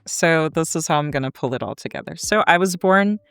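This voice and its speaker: An American woman in her thirties telling a story as an academic guest in an articulate, deliberate, conversational way. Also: sits low, lively intonation, a bit bright, clear, resonant tone, dry recording, faint music behind. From Kansas, United States. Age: 30s.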